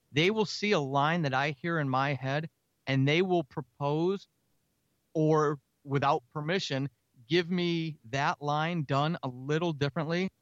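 An energetic man with a slightly high voice talks freely in a casual interview, as the guest. The recording is dry and a little dull. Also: dry recording. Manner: energetic, talkative, self-described extrovert. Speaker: audio drama writer and podcaster, interview guest.

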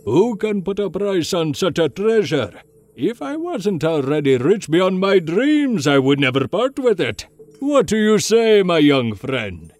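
High, swooping old huckster. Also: male voice, very animated, a little slow, darkish tone, dry recording, soft background music. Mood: pushy, wheedling. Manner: theatrical, wheedling, broken-English salesman. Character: old shopkeeper, pushy conspiratorial huckster, quick to anger. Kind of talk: audiobook character voice.

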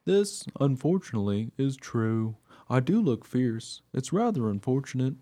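Male actor as plain mongrel dog, wry radio play narrator, mellow tone, very lively intonation, conversational. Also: mid-range voice, moderate pace, close to an everyday voice.

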